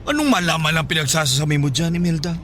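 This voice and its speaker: Sharp, scolding eatery owner. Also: male voice, a little high, expressive, rapid-fire, slight background noise. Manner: nagging, dry sarcasm. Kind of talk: Filipino radio drama acting.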